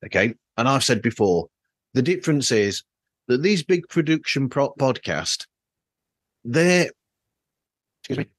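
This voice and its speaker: A man with a medium-pitched voice talks as a podcast host. His delivery is casual, rambling and opinionated, and the sound is dry with no room echo. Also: lively intonation, a little slow, pauses often. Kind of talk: casual interview chat.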